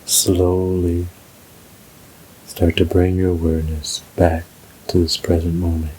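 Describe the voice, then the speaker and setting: A man narrating a scripted guided meditation in a soothing, measured, unhurried way. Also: low voice, little pitch movement, warm tone, slight background noise, low-quality recording.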